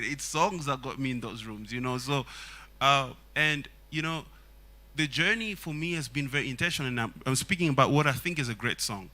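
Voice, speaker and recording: Songwriter from Congo, a man, non-native English, earnest and reflective, bright forward tone. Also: mid-range voice. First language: Swahili. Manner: earnest, reflective, many fillers. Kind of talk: casual panel talk.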